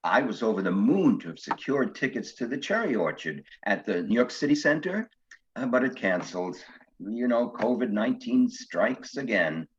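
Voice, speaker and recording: A man's voice: mid-range voice, big pitch swings, moderate pace, natural voice. Man acting a nervous older widower, muffled sound. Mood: polite, hesitant. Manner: hesitant, stammering, earnest, refined. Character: nervous, cultured older widower on a virtual date. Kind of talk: one-act stage comedy acting. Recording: dull, lo-fi sound.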